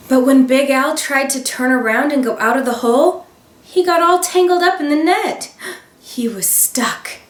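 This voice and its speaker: A woman teacher reading a children's picture book aloud, expressive, with gasps and dramatic emphasis. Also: sits high, a little slow. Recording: roomy sound, voice a bit far from the mic.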